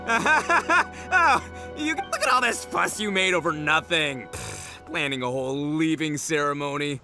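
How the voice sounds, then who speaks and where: A man's voice: fairly high pitch, very animated, unhurried, music in the background. An actor playing a brash, blustering, carefree male gang boss in a video game. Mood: mocking. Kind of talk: English video game dub acting.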